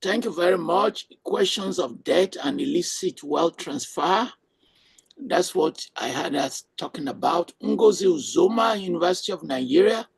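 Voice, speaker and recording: A male moderator whose raised voice has a gravelly, husky grain. He runs the panel briskly, calling on speakers and cutting in with short directions. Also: sits high, expressive. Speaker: host and moderator. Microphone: video call.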